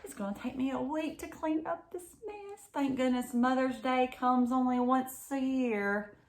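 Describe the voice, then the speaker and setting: A woman's voice: high voice, a little slow, a little hiss or hum, pitched above the performer's own voice. A woman voicing a sweet, easily flustered mother bear in a storybook, with gushing exclamations. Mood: worried.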